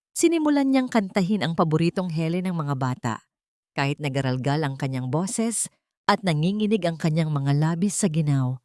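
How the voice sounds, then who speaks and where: Female audiobook narrator, slightly low register, scripted dramatic storytelling, very dry sound. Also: a little mellow, no echo.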